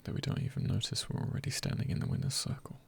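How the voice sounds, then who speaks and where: A man speaking softly in an ASMR style, hushed and often near a whisper, giving gentle encouragement in deliberate, spaced-out phrases with a breathy, husky voice. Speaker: ASMR creator. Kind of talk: ASMR, soft-spoken encouragement.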